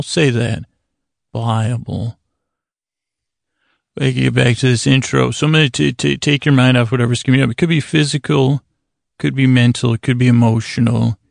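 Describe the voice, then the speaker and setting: A man hosting a sleep podcast, giving a meandering, stop-and-start bedtime monologue in a drawn-out, soothing delivery. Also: medium pitch, creaky, no room sound, clean studio sound. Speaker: podcast host. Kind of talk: rambling bedtime monologue to lull listeners to sleep.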